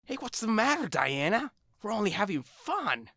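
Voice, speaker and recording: A woman's voice: medium pitch, very animated, fairly bright tone, breathy edge, no echo, clean studio sound, exaggerated voice. A woman voicing a long-lost brother's ghostly voice in an audio drama, mockingly playful and half-singing. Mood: creepy playful. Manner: taunting, slow, half-singing.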